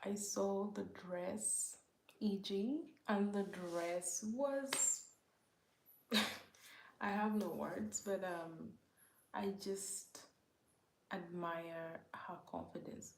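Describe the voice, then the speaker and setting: A woman from Uganda hosting a casual livestream, speaking softly and often near a whisper as she greets viewers by name and reads their comments. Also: medium pitch, drawn-out words, pauses often, roomy sound, a little hiss or hum, poor audio. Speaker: livestream host.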